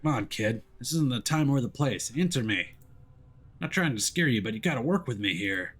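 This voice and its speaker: A man's voice: mid-range voice, animated, moderate pace, fairly bright tone, faint music behind. A man voicing a blunt, impatient paranormal detective in a dramatic game read-aloud. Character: gruff, defiant male paranormal detective, impatient and tough.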